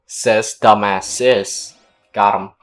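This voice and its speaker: A woman's voice: a bass voice, fairly even intonation, takes it slowly, faint music behind. A woman gaming streamer reacting casually as she plays, with a slangy, blunt, offhand manner. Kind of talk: casual reactions and reading along while playing.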